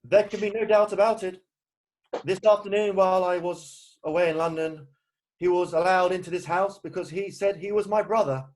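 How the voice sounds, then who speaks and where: A man playing a proper, earnest gentleman guardian in an amateur play reading, formal and stiffly indignant. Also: high-pitched, moderate pace, close to an everyday voice, poor audio. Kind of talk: amateur play read-through.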